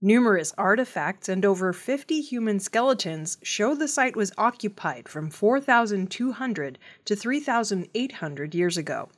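A woman's voice: medium pitch, animated, normal speed, few pauses. A woman reading scripted news reports as a narrator. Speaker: news reader.

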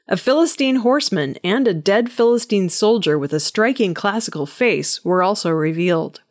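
A woman reading scripted audio news stories as a news reader. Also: medium pitch.

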